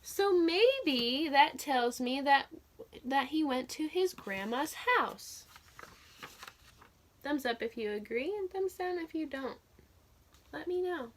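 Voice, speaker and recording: A woman's voice: sits high, expressive, slow pace, long pauses, slight background noise. A woman teaching young children through a read-aloud, telling the story expressively and putting simple, encouraging questions to her listeners.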